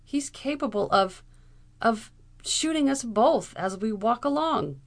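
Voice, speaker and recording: A woman reader acts a timid young gentleman in a storybook, scared out of his wits. He whimpers and implores in a raised, anxious, breath-catching way. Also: high-pitched, slow pace, voice pushed up, full character voice. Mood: terrified pleading. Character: cowardly, terrified young gentleman, whimpering and pleading.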